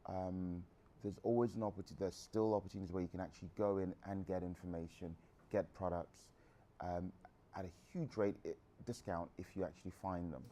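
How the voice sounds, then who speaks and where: A man's voice: sits low, fairly even intonation, fairly quick, mellow, slight background noise. A man in his thirties from England, a guest entrepreneur answering audience questions in a frank, rambling way with restarts. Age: 30s.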